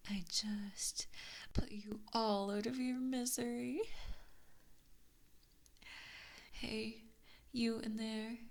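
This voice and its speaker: A woman voicing an evil, possessive giant kitsune in a whispered ASMR roleplay, teasing and cruel, close on a binaural mic. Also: mid-range voice. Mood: menacing. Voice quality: whispery, breathy. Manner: slow, taunting, mock-sweet. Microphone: close binaural microphone.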